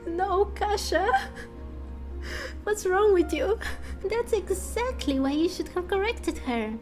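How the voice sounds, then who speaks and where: A woman voicing a small, excitable, fussy fairy companion from a game, squeaky and exclamatory. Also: slow, faint music behind, exaggerated voice. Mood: scolding. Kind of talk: fan voice-over of game dialogue.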